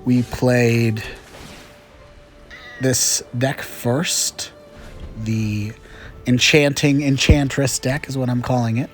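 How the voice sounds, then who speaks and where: A man's voice: medium pitch, little pitch movement, takes it slowly, pauses often, soft background music. Chatty man with a slight cold. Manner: chatty and upbeat, with frequent fillers. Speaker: gaming channel host. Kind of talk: casual gameplay commentary.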